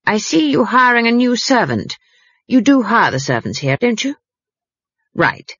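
A shrewd old witch with a husky, slightly raised voice and lively swings of pitch, as a woman narrator puts her on. She is brisk, grim and commanding. The sound is dry and dull. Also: no room sound, full character voice. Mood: persuasive.

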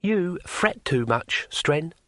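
A quiet, menacing thief master, as a man voices him, unhurried and level, letting slow words carry the threat. His tone stays soft and pleasant on the surface. The storybook reading is close and dry. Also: mid-range voice, animated, no room sound. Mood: quietly menacing.

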